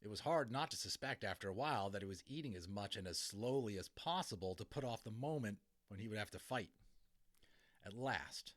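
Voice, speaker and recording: A man reading a storybook aloud as a dramatic, expressive narrator. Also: on the low side. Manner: expressive, theatrical. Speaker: audiobook narrator.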